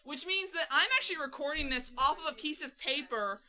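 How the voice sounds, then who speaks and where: A woman's voice: high voice, fairly quick, pushed and bright. A woman hosting a casual group commentary, chatty and hesitant, with many fillers. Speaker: fan series creator on commentary.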